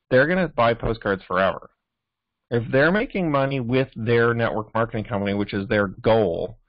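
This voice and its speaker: A muffled, low-fidelity recording with a dry sound carries a man's mid-pitched voice. He talks like a team trainer on a coaching call, informal and instructive. He often stops to check that listeners are following. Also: no echo. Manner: instructive, repeatedly checking in with "okay?". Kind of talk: informal sales training talk. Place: live team conference call.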